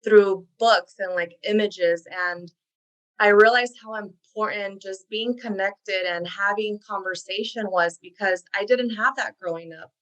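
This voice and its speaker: A woman's voice: mid-range voice, steady tone, a little slow, fairly bright tone, rough recording. A woman whose first language is Spanish, a career coach guest speaking earnestly and reflectively in a podcast interview.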